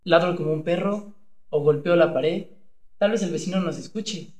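A man's voice: a little high, moderate pace, darkish tone, roomy sound, a little hiss or hum, lightly acted. A man playing a cowardly, boastful henpecked husband in a comedic radio play, hesitant one moment and blustering the next. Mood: startled, timid. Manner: hesitant stammering, then blustering.

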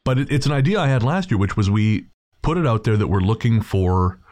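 A man's voice: lowish voice, lively intonation, brisk, a little mellow, no echo. A man talking casually as the host of a podcast, introducing the show.

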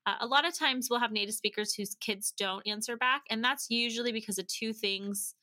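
A woman with a bright, forward voice on the higher side. She speaks as an interview guest handing out practical advice, in a chatty, animated and informal way. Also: fairly quick. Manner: chatty, animated, laughs often. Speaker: podcast guest, language-learning company founder. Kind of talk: casual interview, giving advice.

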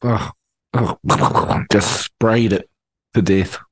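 A man co-hosting a podcast by remote call, deadpan and given to giggling as he feeds jokes. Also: lowish voice, extremely slow, husky, no room sound. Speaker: podcast co-host. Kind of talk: casual podcast banter. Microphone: remote call mic.

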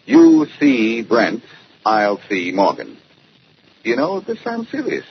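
A man's voice: mid-range voice, huge pitch swings, takes it slowly, gravelly, exaggerated voice. A man playing a cool, cocky amateur detective with dry, needling quips in a 1940s radio drama. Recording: dull, noisy old-time radio recording.

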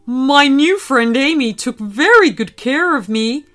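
A woman voicing a cheerful, playful little boy dinosaur in a storybook reading, talking in an excitable, bubbly way. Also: sits high, measured pace, pitched above the performer's own voice. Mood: earnest. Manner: bubbly, excitable, stammers when upset.

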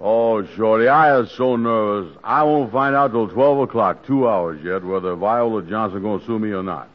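An actor playing a worried, grumbling comic sad sack with folksy ungrammatical speech in old radio comedy, on a muffled recording. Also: male voice, fairly low pitch, moderate pace, faint music behind, lightly acted. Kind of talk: 1940s radio comedy acting.

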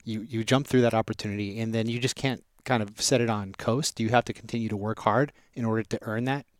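A man from Southern California raised in Las Vegas, a restaurant group president answering interview questions in a measured way with frequent restarts. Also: lowish voice, steady tone, no room sound, studio quality.

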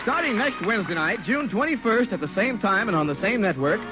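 A man's voice: high-pitched, animated, brisk. A man doing polished, emphatic scripted announcing and advertising in 1950s radio style. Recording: muffled old radio broadcast. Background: music.

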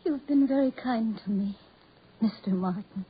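An actress playing a gentle, trusting young woman in a 1940s radio drama, with an earnest, theatrical delivery and breathy voice. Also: on the high side, normal speed. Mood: grateful, tender. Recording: muffled 1940s radio recording.

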